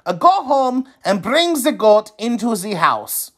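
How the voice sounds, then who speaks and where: Village wise man, voiced by a man: calm storybook sage, unhurried, pitched up. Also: exaggerated voice. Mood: calm advising. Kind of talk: children's storybook character voice.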